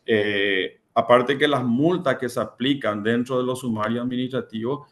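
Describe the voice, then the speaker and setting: A man's voice: mid-range voice, steady tone, moderate pace, fairly bright tone. A man giving a conference presentation as a panelist, hesitant and full of fillers and repeated words. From Paraguay.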